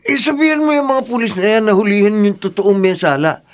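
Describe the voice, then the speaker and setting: A man's voice: high-pitched, expressive, fairly quick. A man playing an indignant jailed father in a radio drama, with a Filipino accent and an emphatic, defensive manner. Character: indignant jailed father protesting his innocence.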